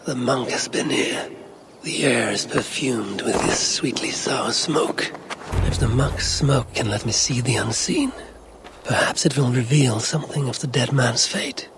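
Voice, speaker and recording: An actor playing a brooding Viking clan leader in a video game, measured and solemn, as if murmuring to himself. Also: male voice, mid-range voice, background noise, close to an everyday voice. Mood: brooding, investigative. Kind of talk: video game cutscene acting.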